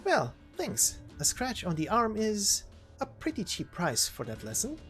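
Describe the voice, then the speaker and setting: Narrator putting on a cocky young merc girl for a game story, pitched up and swingy, taunting, music behind. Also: wildly swooping pitch, measured pace, fairly bright tone. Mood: wry, teasing. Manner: taunting, flippant. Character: cocky, teasing young woman mercenary W.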